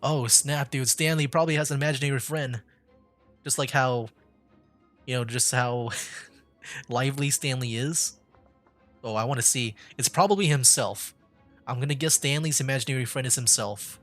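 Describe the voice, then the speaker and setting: A man's voice: medium pitch, normal speed, long pauses, fairly bright tone, faint music behind. A man giving casual, reactive gameplay commentary as a streamer, with slangy phrasing.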